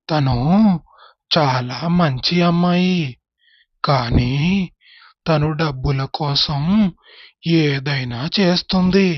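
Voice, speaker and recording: Solemn male teacher for a story cartoon, played by a man, measured phrases, dry close sound. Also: a little high, dry recording, dull audio. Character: grave schoolmaster disclosing a former pupil's dark past.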